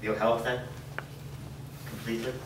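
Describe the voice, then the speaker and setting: A man's voice: medium pitch, little pitch movement, normal speed, lightly acted. A man playing a grave, loyal and resolute companion in a staged reading. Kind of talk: staged reading of a drama script. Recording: noisy, very echoey hall from far off.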